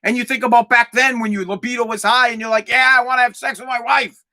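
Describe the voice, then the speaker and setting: A man in his forties hosting a comedic solo podcast, ranting in a profane, rambling way with lots of stammered restarts and bursts of shouting. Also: very high voice, little pitch movement, fast. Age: 40s. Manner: exaggerated, swings into loud shouting. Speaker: podcast host.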